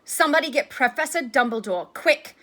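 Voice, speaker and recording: A woman puts on an officious older schoolboy from a storybook. The voice is sharp and lifted, full of bossy self-importance and bustle. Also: high voice, sing-song, takes it slowly, voice pushed up, exaggerated voice. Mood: sharp, urgent. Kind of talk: storybook character voice.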